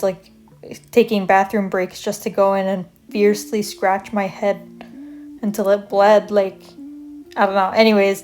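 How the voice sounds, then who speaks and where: A young woman from Canada chatting casually as she draws, rambling with lots of fillers and self-corrections. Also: mid-range voice. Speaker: art vlogger. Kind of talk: casual chat over a draw-with-me video. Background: soft guitar music.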